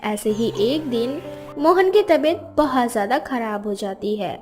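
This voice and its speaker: A woman narrates a scripted story. Her voice sits high. Soft music runs faintly underneath. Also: animated, a little slow.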